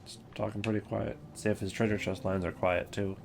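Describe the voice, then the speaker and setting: A man's voice: on the low side, level tone, a little fast, gravelly. A man giving casual, off-the-cuff commentary as the host of a ranking video.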